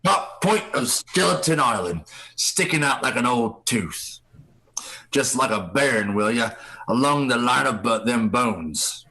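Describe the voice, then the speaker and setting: Man playing a gravelly, wily old pirate ringleader. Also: medium pitch, lively intonation, sharp tone, low-quality recording. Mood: musing, commanding. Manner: folksy pirate speech, drawn-out emphasis. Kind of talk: live radio play acting.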